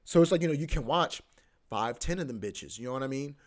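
A young man talks casually on his podcast, loose and profane. He piles on filler words, repeats phrases and restarts his sentences. His voice sits at an ordinary male pitch. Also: lively intonation, fairly quick. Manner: loose and profane, heavy filler like "bro" and "you know what I mean"; repeats himself, often restarts. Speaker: podcast host. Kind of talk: casual rambling monologue.

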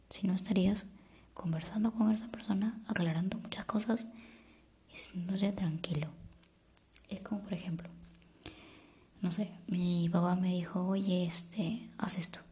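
A young woman giving calm, reflective life advice in a soft ASMR-style whisper. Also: lowish voice, slightly rough.

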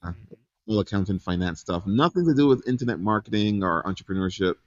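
A man in his forties from Barbados, a guest chatting casually in an interview, relaxed, laughing easily and pausing with ums. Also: lowish voice, lo-fi sound. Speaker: guest entrepreneur.

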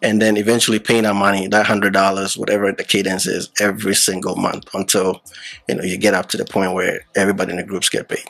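A man's voice: sits low, little pitch movement, fast talker, creaky, a bit bright. A man who grew up partly in Ghana, a podcast guest explaining things casually with many fillers and restarts. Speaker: podcast guest, app founder. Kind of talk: casual explanatory interview talk.

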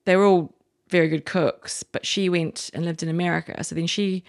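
A woman's voice: lowish voice, fairly even intonation, normal speed. A woman from New Zealand with a New Zealand accent, a chef chatting as a podcast guest, enthusiastic and rambling with frequent restarts. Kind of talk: casual interview chat.

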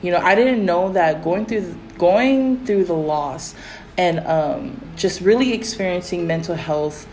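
A woman hosting a casual faith podcast, with a raspy voice and a rambling manner full of fillers. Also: lowish voice, measured pace, a little hiss or hum, rough recording. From Pacific Islands. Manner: rambling, many fillers, animated when excited. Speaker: podcast host.

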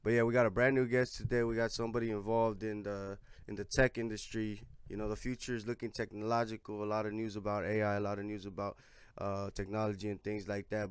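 A man in his twenties hosting a casual interview podcast, relaxed and full of "you know" fillers. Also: lowish voice, smooth, no room sound. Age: 20s. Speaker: podcast host.

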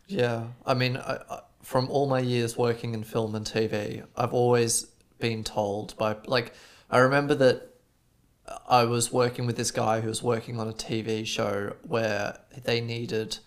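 Male, Australian accent, podcast co-host, casual rambling chat, mid pitch. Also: little pitch movement, a little slow. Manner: rambling storyteller, frequent "like" fillers.

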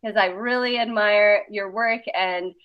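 A woman chatting casually about creative work, talkative and animated in her storytelling. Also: mid-range voice, a little slow. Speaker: artist and interviewer. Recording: dull lo-fi sound.

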